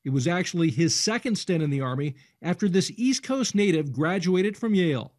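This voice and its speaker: A man's voice: high-pitched, lively intonation, fairly quick. A man reading scripted narration for a television news report.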